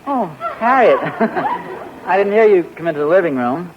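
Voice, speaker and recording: This is a lively, fairly high man's voice, a joking husband who chuckles through his lines and teases his wife. His pitch bounces around a lot. It sounds like a dull, hissy 1940s radio broadcast. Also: measured pace. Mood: sheepish, joking. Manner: playful, chuckling, mock-innocent. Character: teasing, good-natured young husband. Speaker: sitcom husband. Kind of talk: 1940s radio sitcom acting.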